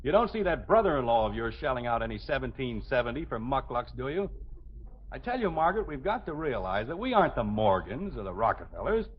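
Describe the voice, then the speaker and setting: A man's voice: medium pitch, sing-song, quick pace, husky. A man with an American accent playing an exasperated, thrifty father in a 1950s radio sitcom, blustery, sarcastic and lecturing. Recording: muffled old radio recording.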